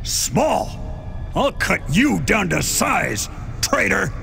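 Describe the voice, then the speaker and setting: A high-pitched, swooping indignant warrior. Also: male voice, sing-song, very slow, gravelly, bright, forceful tone, faint music behind, full character voice. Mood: outraged. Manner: impassioned, declamatory. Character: indignant heroic male warrior of a fantasy game. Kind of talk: fantasy game cutscene acting.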